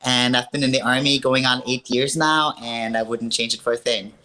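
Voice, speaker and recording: A woman in her thirties from Austria, a strongwoman athlete telling her story with earnest upbeat warmth in a casual call interview. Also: very deep voice, steady tone, normal speed, background noise.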